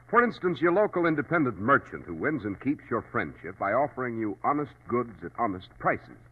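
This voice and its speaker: A man's voice: mid-range voice, husky. A man with an American accent announcing in polished, emphatic 1940s radio sponsor style. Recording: dull old radio recording.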